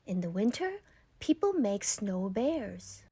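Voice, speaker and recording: Expressive woman reading a children's storybook. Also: medium pitch, slow pace, husky, dry recording. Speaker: read-aloud narrator of a children's picture book. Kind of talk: scripted storybook narration.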